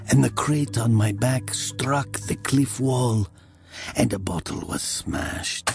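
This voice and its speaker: A man acting as a brooding laborer who narrates his grim past in an audio drama, often dropping to a whisper. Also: darkish tone. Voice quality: gravelly, low. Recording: music under the voice.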